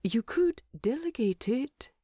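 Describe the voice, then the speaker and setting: A woman voicing a sleepy, gently teasing male hobbit with a soft manner in a narrated story. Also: high-pitched, lively intonation, takes it slowly, breathy edge, no room sound, studio quality, pitched above the performer's own voice, full character voice. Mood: sleepy, sympathetic.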